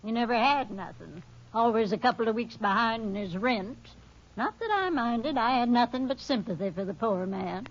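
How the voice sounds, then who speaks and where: A woman's voice: on the high side, animated, normal speed. An actress playing a defensive landlady in a 1950s radio drama, talking in a fretful, ungrammatical way. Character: defensive middle-aged landlady. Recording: dull lo-fi old radio recording.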